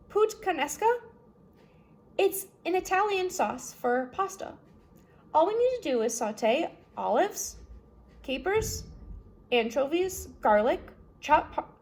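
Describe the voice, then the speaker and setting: A woman voicing a studious, bookish orphan boy in a storybook, polite and earnest. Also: sits high. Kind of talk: storybook character voice.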